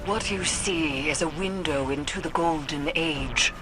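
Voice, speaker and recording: A woman voicing a grave, knowing scholar in video game dialogue, speaking solemnly and deliberately. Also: deep voice. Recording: game audio over music.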